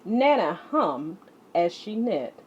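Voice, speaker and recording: A woman narrating a children's picture book in an expressive, deliberate storytelling manner. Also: lowish voice, muffled recording, slight background noise. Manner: animated, deliberate storytelling delivery. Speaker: read-along storyteller.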